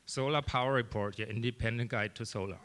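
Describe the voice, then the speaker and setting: A man in his thirties with a German accent, pitching his startup on stage with a handheld mic and answering questions in a small event room. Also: fast talker, heavy background noise. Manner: earnest, steady, many "um"s.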